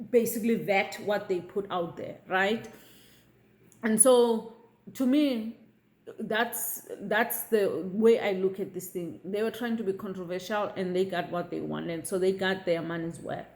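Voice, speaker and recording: A middle-aged woman shares her opinions in a casual vlog. Her delivery is unhurried and deliberate, and she keeps pausing to check that her viewers are following her. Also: medium pitch, a little mellow. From South Africa. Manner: measured, repeats "okay?" to check in with viewers. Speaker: vlogger.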